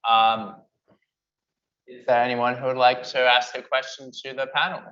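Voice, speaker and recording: A man's voice: medium pitch, little pitch movement, moderate pace, pushed and bright. A man moderating a panel discussion, politely passing questions along with brief remarks. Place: seminar hall before an audience. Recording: muffled lo-fi event recording.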